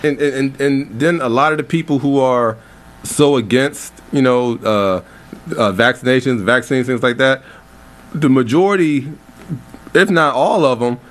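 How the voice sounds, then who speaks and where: A man's voice: medium pitch, unhurried. A man working as a radio show producer, chatting casually on air and repeating words when he gets worked up. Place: radio studio.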